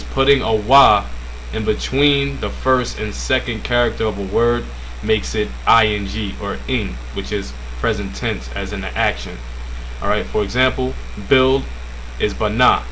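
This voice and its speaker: A man teaching an informal religious language lesson, with a halting, filler-heavy manner. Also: fairly low pitch, a bit bright, slight background noise, poor audio. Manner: casual, halting, many fillers.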